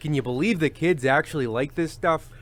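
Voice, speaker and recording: A man's voice: fairly high pitch, a little fast, faint music behind, close to an everyday voice. A man playing an anxious, prim, fading variety show host in a radio comedy, earnest and hesitant in delivery. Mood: reluctant. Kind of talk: old-time radio comedy acting.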